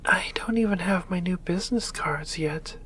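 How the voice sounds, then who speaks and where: Woman acting a low, breathy succubus doctor. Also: moderate pace, a bit bright, slight background noise, natural voice. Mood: sheepish, grumbling. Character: composed, teasing female succubus demon doctor. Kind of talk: ASMR roleplay acting.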